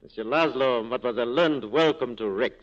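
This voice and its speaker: An actor playing a wryly corrupt police captain with an urbane, teasing, courtly manner, in 1940s radio style. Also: male voice, mid-range voice, a little fast, music in the background, close to an everyday voice. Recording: muffled 1940s radio broadcast recording.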